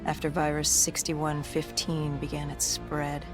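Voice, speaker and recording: A woman playing a haunted, cybernetically enhanced soldier who gravely recounts humanity's fall in a video game. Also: low-pitched, completely flat delivery, measured pace. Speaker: protagonist narrator. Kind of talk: cinematic video game narration. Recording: music behind the voice.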